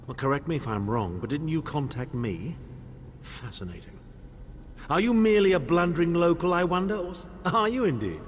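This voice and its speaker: A haughty older overlord for a fantasy video game, pitched on the high side for a male voice and swinging widely in tone. He is scornful and condescending, with theatrical delivery and faint noise behind him. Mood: imperious, intrigued. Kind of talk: video game character acting.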